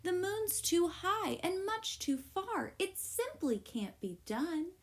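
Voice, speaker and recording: Woman voicing a high, scoffing storybook cow. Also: very animated, slow pace, heavily acted. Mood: incredulous, scoffing. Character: scoffing, doubting female farm cows in a storybook.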